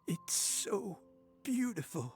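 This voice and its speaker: A man playing a frail, devoted old man in a game story, husky and halting, full of wistful tenderness. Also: sits high, full character voice. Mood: awed. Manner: halting, sighing, emotional. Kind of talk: game voice acting.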